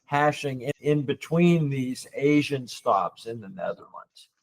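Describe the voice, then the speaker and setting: Casual male podcast interviewer, medium pitch. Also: animated, a little slow, poor audio.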